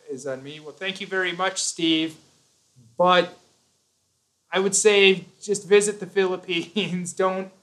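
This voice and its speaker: A man's voice: a little high, fairly bright tone. A man hosting a casual live stream, reading out viewer comments and answering them in a rambling, joking way. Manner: chatty, rambling, self-correcting, jokey.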